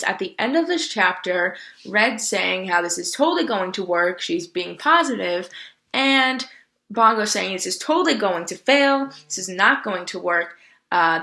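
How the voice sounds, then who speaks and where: A woman's voice: medium pitch, sharp tone. A woman reading a children's story aloud and explaining it in casual commentary. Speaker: reader commenting on the book.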